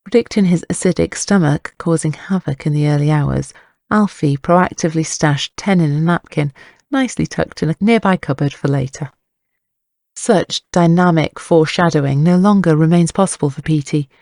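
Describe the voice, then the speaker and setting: A man's voice: a little high, normal speed, vocal fry, a little mellow, no echo, clean studio sound. A man reading scripted dramatic narration for an audiobook, with a wry, wordy storytelling manner.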